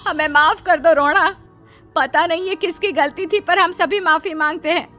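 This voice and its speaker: A woman's voice: extremely high pitch, normal speed, bright, forceful tone, faint music behind. A woman voicing a desperate, emotional village parent in a cartoon story. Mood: pleading. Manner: pleading, emotional.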